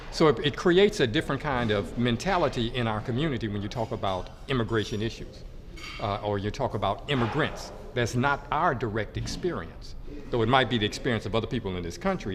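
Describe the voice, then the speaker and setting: A man speaking emphatically and deliberately as a panelist on a roundtable talk show. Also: lowish voice. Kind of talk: panel discussion.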